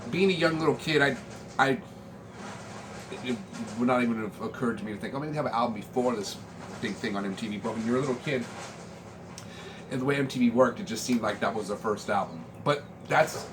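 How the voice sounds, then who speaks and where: American guy in his 40s just rambling, slangy laid back vlog talk, medium pitch, pretty noisy recording. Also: a little fast. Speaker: vlogger showing a music collection. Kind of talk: casual rambling chat. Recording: clearly noisy room ambience.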